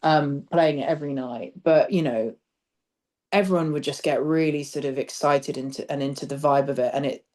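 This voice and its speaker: A woman's voice: sits low, normal speed, darkish tone. A woman speaking as a theatre director in a casual interview, thoughtful and discursive, letting phrases trail as she explains. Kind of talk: casual interview chat about stage work.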